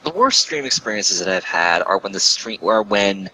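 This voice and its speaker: A man's voice: lowish voice, big pitch swings, normal speed, pushed and bright. A man talking casually as a podcast guest, hesitant and rambling as he picks his words over a noisy voice call. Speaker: guest, game streamer.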